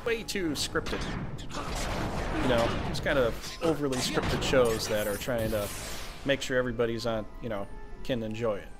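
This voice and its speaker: A man's voice: medium pitch, normal speed. A man hosting a co-op gaming channel, talking casually in a rambling, frank way. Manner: rambling, frank, self-interrupting. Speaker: channel host. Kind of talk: casual chat over gameplay. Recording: music playing behind.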